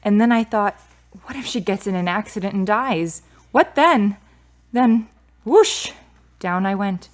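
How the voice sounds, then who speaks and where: A woman's voice: medium pitch, expressive, a little slow, close to an everyday voice. A woman playing a candid, reflective, grieving daughter of about thirty in a radio drama. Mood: subdued, candid.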